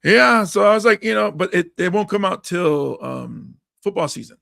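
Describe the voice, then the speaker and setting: Raspy hoarse guy hosting a podcast, kinda high voice, dry close sound, laughs a lot. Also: moderate pace, no echo. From Hawaii. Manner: animated, laughs often, acts out quotes. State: hoarse from coaching. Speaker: podcast host and comedian. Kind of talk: casual podcast chat.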